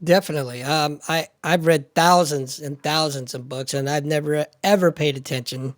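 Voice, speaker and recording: A man's voice: a little high, steady tone, moderate pace, no echo, studio quality. A man hosting a podcast in casual chat, hesitant and full of fillers and repeated words. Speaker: podcast host.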